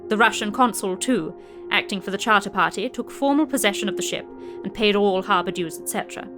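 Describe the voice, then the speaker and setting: A woman playing a composed newspaper correspondent in an audio drama, narrating her dispatch in a measured, articulate way. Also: mid-range voice, over music, natural voice. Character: observant, composed newspaper correspondent filing reports.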